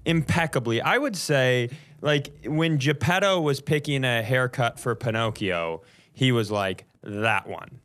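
A man's voice: mid-range voice, lively intonation, a little slow, a bit bright. A man co-hosting a comedy podcast, bantering casually in a wry, riffing way.

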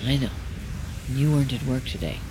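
An actress playing a composed, insistent woman in a naturalistic audio drama, gently coaxing and persistent. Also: very deep voice, brisk, background noise. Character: composed, insistent woman inviting someone in from the cold.

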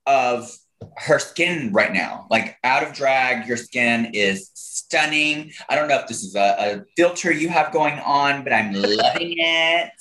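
A man co-hosting a casual chat, chatty and encouraging with quick interjections. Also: mid-range voice, expressive, sharp tone, a little hiss or hum. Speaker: podcast co-host. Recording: roomy echo.

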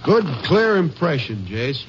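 An actor playing a plain-spoken, questioning small-town sheriff in a 1950s western radio drama. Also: male voice, fairly high pitch, big pitch swings, unhurried. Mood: curious, agreeable. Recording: noisy, dull old radio recording.

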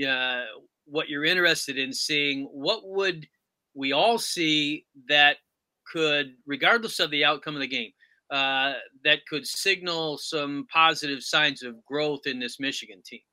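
A man interviewing about sports, phrasing his questions in a halting, deliberate way. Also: a little high, sharp tone. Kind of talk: sports discussion, asking questions.

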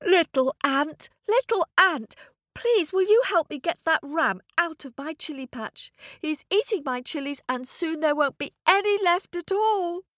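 A woman's voice: very high voice, expressive, unhurried, a bit bright, dry recording, clean studio sound, voice pushed up, heavily acted. Woman doing a pleading little boy. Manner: pleading, eager.

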